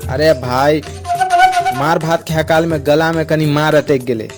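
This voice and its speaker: A man's voice: a little high, steady tone, unhurried, close to an everyday voice. A man voicing a melodramatic, scolding and sarcastic young creditor demanding his money in a comic cartoon. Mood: impatient demanding. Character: blustering, melodramatic young male moneylender friend. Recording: clear music behind the voice.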